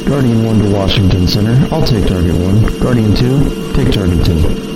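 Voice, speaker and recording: A man's voice: on the low side, normal speed, very noisy, lightly acted. A man playing a disciplined military fighter pilot in an audio drama, speaking in terse radio phrases. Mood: resolute. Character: military fighter pilot, male, ready for combat.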